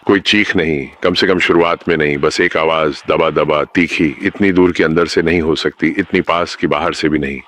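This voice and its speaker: A man's voice: a bass voice, steady tone, normal speed, few pauses, a bit bright. A man narrating a scripted horror story, speaking ominously and addressing the listener directly.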